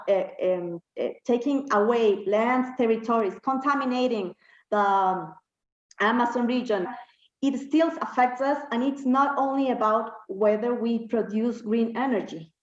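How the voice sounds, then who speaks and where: A woman from Ecuador, a health activist, giving a recorded statement and often pausing with fillers as she searches for words. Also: fairly high pitch. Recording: echoey, dull lo-fi room recording.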